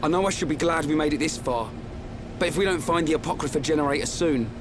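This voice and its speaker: A man's voice: on the high side, quick pace, noisy background, lightly acted. A man playing a brash, loyal, blunt young best mate in a video game, with a working-class English accent and colloquial delivery. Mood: determined. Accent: British, working-class English. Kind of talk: video game cutscene voice acting. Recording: game music behind the voice. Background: music.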